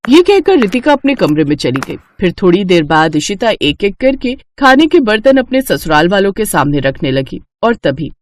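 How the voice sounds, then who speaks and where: A woman reads a scripted bedtime tale as narrator. Her voice sits at a middle pitch, and the sound is dry and close. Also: very animated, normal speed, few pauses, dry recording.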